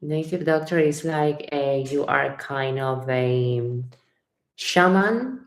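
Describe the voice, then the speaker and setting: Woman, tarot reader, unhurried delivery, roomy echo. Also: rumbling low. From Ecuador. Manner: reads viewer comments aloud and reacts, repeating phrases. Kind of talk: live-stream chat with viewers. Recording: echoey room.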